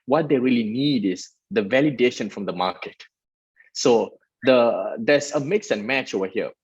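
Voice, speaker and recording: Man from malaysia explaining stuff earnestly, lots of restarts, husky voice, dull dry sound. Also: medium pitch, no room sound. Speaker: guest, startup festival director. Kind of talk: interview answers.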